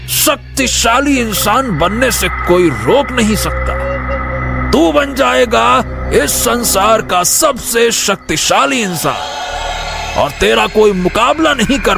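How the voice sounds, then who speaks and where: A man playing a stern, mysterious occult hermit in a cartoon story, speaking in a commanding, deliberate way. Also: sits high, creaky, heavily acted. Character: stern, menacing occult hermit sage chanting dark mantras. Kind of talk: Hindi cartoon story dramatic acting. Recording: music under the voice.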